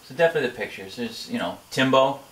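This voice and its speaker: A man's voice: mid-range voice, expressive, quick pace. A man reminisces in an easy, conversational interview style. He is recorded slightly far from the mic in a strongly echoing room, over a faint layer of noise. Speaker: interviewee, firefighter and hobby sign maker. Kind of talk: casual chat, reminiscing. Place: indoor workshop. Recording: echoey room, distant mic, background noise.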